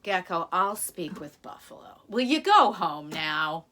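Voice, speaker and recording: A woman's voice: fairly low pitch, sing-song, unhurried, background noise. A woman playing a patient, appreciative village chief in a folktale, speaking politely and expressively. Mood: patient explaining.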